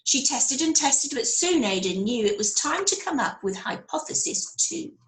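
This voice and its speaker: In a roomy, echoing space, a woman reads a children's picture book aloud. Her voice is fairly high and very animated, with expressive phrasing like a school storyteller. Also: normal speed. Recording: roomy, echoey sound.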